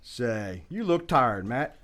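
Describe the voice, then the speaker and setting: Guy as chatty american old west doc, mid-pitched, folksy, exclaims and asks stuff, radio western. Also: big pitch swings, slow pace, fairly bright tone. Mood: concerned. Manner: folksy, exclaiming, prodding with questions. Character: talkative, concerned frontier town doctor, a man. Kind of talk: western radio drama acting.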